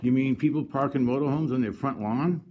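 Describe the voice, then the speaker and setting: A man's voice: medium pitch, brisk, mellow. A man arguing his own case at a public hearing, combative and insistent, often repeating himself. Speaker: citizen contesting a code violation at a hearing. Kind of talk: argumentative public hearing testimony.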